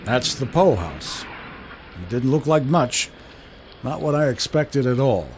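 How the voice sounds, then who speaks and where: A man's voice: mid-range voice, sing-song, measured pace, background noise. An actor playing a wry, observant 1930s everyman who narrates a radio drama in colloquial, musing first person.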